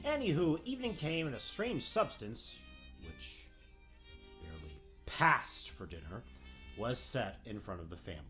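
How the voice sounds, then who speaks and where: A man's voice: mid-range voice, sing-song, moderate pace, fairly bright tone, soft background music. A man playing a wry, theatrical storybook narrator, tongue-in-cheek and mock-grand, in a comedic fairy-tale radio play.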